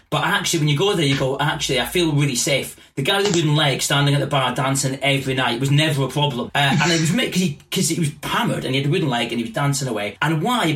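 Male comedian guest from Scotland, animated yarn-spinner with a slightly raised pitch. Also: a little hiss or hum. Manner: animated storytelling, laughing often. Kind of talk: casual podcast chat.

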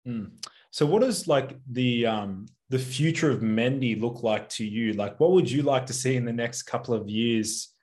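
A man's voice: mid-range voice, moderate pace, darkish tone. Easygoing male podcast host. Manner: loose, filler-heavy questioning. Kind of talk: casual interview questions.

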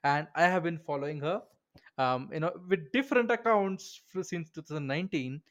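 Man, somewhat high voice, podcast host, casual, lots of fillers. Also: normal speed, a bit bright. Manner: informal, many fillers, chuckles. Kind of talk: casual interview chat.

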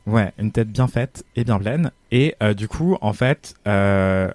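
A man's voice: fairly low pitch, slow pace, no room sound. A man co-hosting a podcast, chatting casually and enthusiastically with many fillers and restarts. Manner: animated, lots of fillers and restarts. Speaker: podcast co-host, fashion journalist. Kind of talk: casual chat.

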